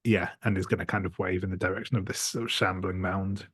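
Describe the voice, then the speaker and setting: A man's voice: sits low, fairly even intonation, quick pace, fairly bright tone, breathy edge, no room sound, studio quality. A man playing a tabletop roleplaying game, tentatively narrating and speaking for his character.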